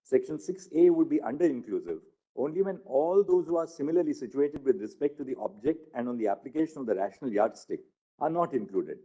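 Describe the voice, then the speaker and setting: A man reads formal legal text aloud. His voice is very dark and mellow, with a husky edge. The delivery is measured and formal, at a medium pitch. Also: rough recording. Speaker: narrator reading a court judgment.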